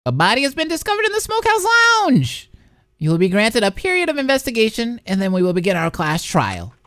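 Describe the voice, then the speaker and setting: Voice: medium pitch, wildly swooping pitch, a little fast, a bit bright, dry recording, clean studio sound, voice pushed up. A person voicing a sinister, mockingly polite announcer of a killing game, speaking formally and theatrically. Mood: mock solemn.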